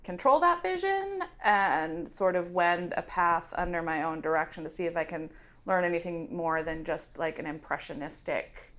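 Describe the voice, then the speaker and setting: A woman's voice: fairly low pitch, animated, normal speed, fairly bright tone. A woman playing a tabletop game, deliberating aloud in casual discussion.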